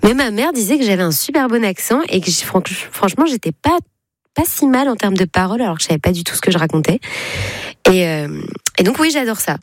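A woman's voice: mid-range voice, expressive, fast, no echo. A young woman, a singer being interviewed in a radio studio, talking chattily with frequent hesitations. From Belgium. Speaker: guest singer. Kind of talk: casual interview chat.

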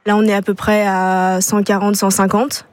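A young woman speaks as a radio guest from the studio. Her answers are hesitant and full of filler sounds, and her voice sits at a middle pitch. The sound is close and dry. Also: flat delivery, normal speed, dry recording. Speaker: student charity vice-president.

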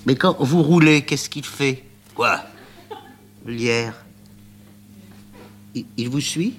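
A man voicing a nervous, easily frightened young woman, reading her lines high and pleading. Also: takes it slowly, a bit bright. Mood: flustered.

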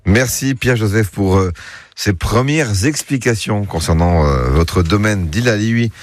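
A man's voice: on the low side, lively intonation, unhurried, dry recording. A man working as a radio reporter, interviewing a guest politely with some hesitation.

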